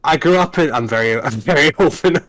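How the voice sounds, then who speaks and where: A young Scottish man chatting casually on a tier-list panel, rambling and animated, swearing freely and restarting his sentences. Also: a little high, a little fast. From Scotland. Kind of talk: casual chat.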